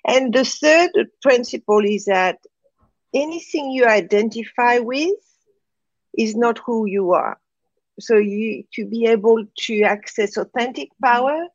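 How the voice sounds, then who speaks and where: A woman's voice: on the high side, dry recording, lo-fi sound. A woman with a French accent, speaking reflectively as a guest in an interview and often checking in with "right?". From France, raised in Morocco. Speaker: guest, transformation coach.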